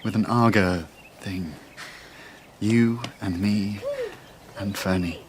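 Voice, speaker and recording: A man's voice: lowish voice, fairly even intonation, slow, noisy background. An actor playing a doting father and wounded, estranged husband, by turns playful and terse, in a naturalistic radio drama. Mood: wounded, pleading. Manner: swings between playful and terse.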